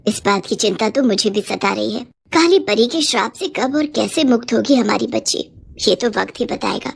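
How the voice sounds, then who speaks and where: A woman acts out a gentle queen mother in a cartoon fairy tale. She speaks in a soothing, measured way. Concern for her child sits under the words. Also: fairly high pitch, animated, gravelly, breathy edge, heavily acted. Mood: worried. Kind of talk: Hindi moral story cartoon.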